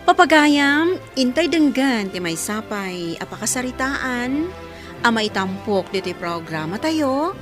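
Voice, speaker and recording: A woman's voice: fairly high pitch, sing-song, a little slow, over music. A woman with an Ilocano accent reading a scripted devotional introduction as a radio program presenter.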